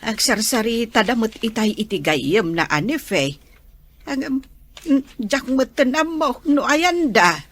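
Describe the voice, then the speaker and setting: A woman's voice: fairly high pitch, lively intonation, a little slow. A woman with an Ilocano Filipino accent playing a caring, soothing old grandmother in an audio drama. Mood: explaining.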